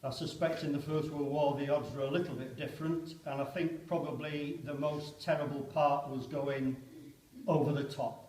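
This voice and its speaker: A man's voice: on the high side, fairly even intonation, normal speed, darkish tone. A man giving a spoken introduction to a poem reading at a church service. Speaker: reader at a church vigil service. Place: parish church. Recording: echoey church, distant microphone.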